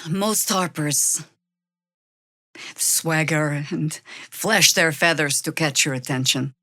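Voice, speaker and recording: Game casting: stern older warrior woman, slow, slightly low voice, wry and measured. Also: sharp tone, dry recording, full character voice. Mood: dry, commanding. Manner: dry, sardonic, measured. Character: stern, world-weary older female druid warrior, wry and commanding. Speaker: voice actor in a video game. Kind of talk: scripted video game character lines.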